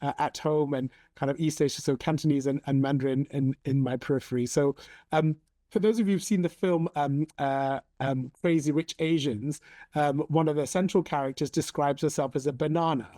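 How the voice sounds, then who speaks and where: A man in his forties, raised in Hong Kong, speaking as an interview guest in a measured, thoughtful way with frequent ums. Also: fairly high pitch, steady tone, warm tone, no echo. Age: 40s. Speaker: interview guest, storytelling coach. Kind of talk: conversational interview.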